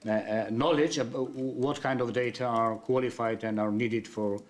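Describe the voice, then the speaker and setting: A man speaking on a panel, earnest and halting, with many pauses as he makes his points. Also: medium pitch, steady tone. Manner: hesitant, many fillers and restarts, earnest. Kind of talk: panel discussion.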